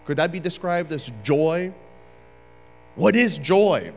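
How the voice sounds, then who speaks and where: A man's voice: on the high side, lively intonation, takes it slowly, big-room sound, faint music behind. A man from Uruguay preaching a sermon as a guest speaker, joking casually at first and then building to emphatic points. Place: church sanctuary.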